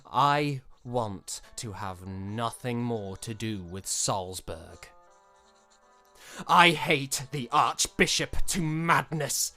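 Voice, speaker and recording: An actor playing a proud, passionate young eighteenth-century gentleman in an audio drama, speaking formally and theatrically. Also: mid-range voice, animated, very slow, faint music behind, full character voice. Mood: earnest, resolute. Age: young adult. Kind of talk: period audio drama acting.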